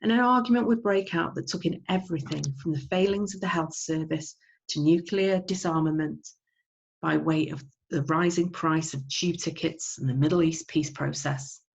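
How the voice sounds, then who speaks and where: Low-pitched woman reading a novel aloud. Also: normal speed, gravelly, lo-fi sound. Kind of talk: expressive audiobook narration of a children's novel.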